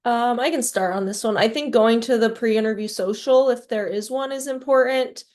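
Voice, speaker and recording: A woman's voice: a little high, normal speed, darkish tone. Conversational woman from a residency program. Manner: conversational, hedging. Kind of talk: webinar panel advice. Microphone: video call.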